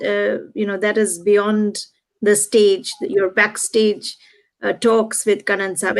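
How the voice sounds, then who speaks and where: Hesitant woman interviewer, slightly gravelly. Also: fairly high pitch, low-quality recording. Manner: hesitant, many fillers. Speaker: co-host interviewer. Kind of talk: interview question.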